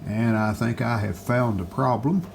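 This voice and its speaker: A man giving a casual hands-on repair walkthrough, unhurried and thinking aloud as he works at an indoor bench. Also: mid-range voice. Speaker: hobbyist restorer presenting a repair video. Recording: clear background noise.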